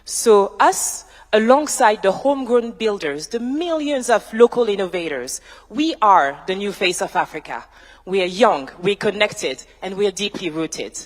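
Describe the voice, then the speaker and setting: A woman speaks from a stage with measured emphasis, stacking her points into rhythmic lists. Her mid-range voice carries through a large hall, and the long reverb trails each phrase. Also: medium pitch, lively intonation. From France. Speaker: tech founder, panel speaker. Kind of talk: prepared keynote-style panel answer.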